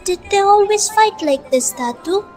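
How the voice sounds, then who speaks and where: A woman playing a caring, curious young granddaughter in an amateur radio play, softly questioning. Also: very high-pitched, expressive, moderate pace, faint music behind.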